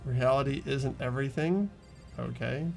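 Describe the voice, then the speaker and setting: A young man with a mid-range voice and audible vocal fry hosts a game playthrough. He reads narration aloud and drops into casual commentary with friends. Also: mid-range voice, normal speed, a little mellow, soft background music. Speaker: host of a group game playthrough.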